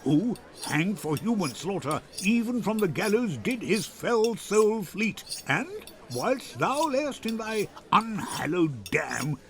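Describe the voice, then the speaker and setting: A man's voice: high voice, sing-song, slow, hardly any pauses, heavily acted. An older man voicing a hot-tempered young man, scornful and declamatory, in a dramatic Shakespeare reading. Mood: scornful outrage.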